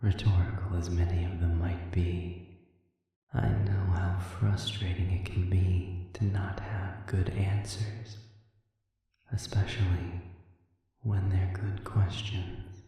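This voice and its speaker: In a cavernous, heavily reverberant space, a sadistic demon prisoner played by a man speaks from a distance. His voice is a soft, dark murmur, extremely unhurried. He is calm and manipulative, taunting silkily with deliberate pauses, in the manner of an audio drama. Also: deep voice. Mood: smooth, mock-conciliatory. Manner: measured, deliberate, insinuating, with rhetorical questions and pauses. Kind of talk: scripted audio roleplay monologue. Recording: distant, reverberant, echoing chamber sound.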